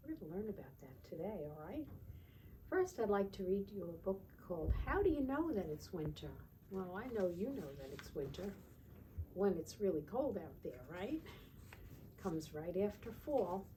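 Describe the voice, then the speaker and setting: A woman teacher reading aloud to young children in a sing-song way, pausing to ask simple check-in questions. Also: medium pitch, very animated, mellow, a little hiss or hum, poor audio. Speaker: children's teacher and storytime reader.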